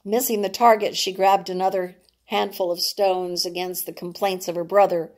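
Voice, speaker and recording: A woman reading a novel aloud as its author, in a deliberate, dramatic narrating style, sometimes stumbling over words. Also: medium pitch, flat delivery, a little mellow.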